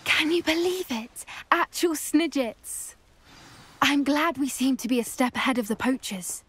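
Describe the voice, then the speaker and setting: A woman voicing an earnest, gentle teenage schoolgirl who loves animals, in a sincere video game performance. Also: high voice, measured pace. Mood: excited.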